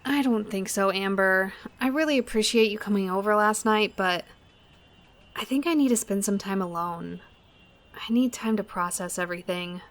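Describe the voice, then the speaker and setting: A woman's voice: mid-range voice, normal speed, lightly acted. A woman acting as a troubled, guarded young woman who stays polite, in naturalistic audio drama dialogue. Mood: weary, guarded.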